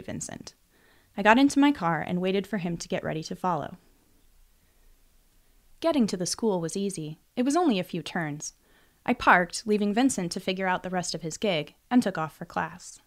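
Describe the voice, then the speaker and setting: A woman reading first-person fiction as an audiobook narrator in a scripted storytelling style. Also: medium pitch.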